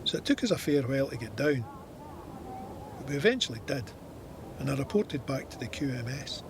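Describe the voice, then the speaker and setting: An actor playing a stoic Scottish First World War soldier, narrating his memoir in a measured, matter-of-fact way. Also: mid-range voice, animated, background noise, natural voice. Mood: wry, weary. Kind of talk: scripted memoir narration in an audio drama.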